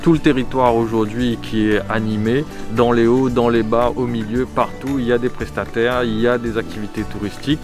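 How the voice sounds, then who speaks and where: A man's voice: mid-range voice, level tone, fairly quick, few pauses. A man speaking as a local official in an interview, hesitating and repeating phrases for emphasis. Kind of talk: press interview statement. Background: music.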